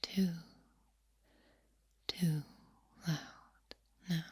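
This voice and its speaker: Breathy, whispering woman, extremely slow. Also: deep voice, fairly even intonation, a little mellow. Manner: deliberate, drawn-out delivery with repeated words. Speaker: ASMR host. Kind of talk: soft-spoken ASMR, mostly whispered. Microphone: close, sensitive microphone.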